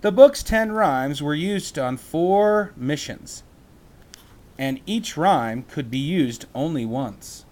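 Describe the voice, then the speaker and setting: Slow, expressive man reading a storybook. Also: a little high. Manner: slow, expressive read-aloud with small slips. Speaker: teacher reading aloud. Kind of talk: reading a children's chapter book aloud.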